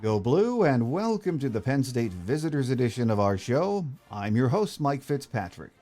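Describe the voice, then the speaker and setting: A man's voice: mid-range voice, sing-song, moderate pace, dark tone, soft background music. A man hosting a sports podcast, giving his commentary and interviewing a guest. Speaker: podcast host.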